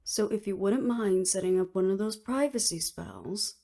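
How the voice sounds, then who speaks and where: A woman's voice: mid-range voice, moderate pace, mellow, natural voice. A woman acting in an audio roleplay as an earnest, weary official who speaks formally and seriously. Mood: weary, resolute.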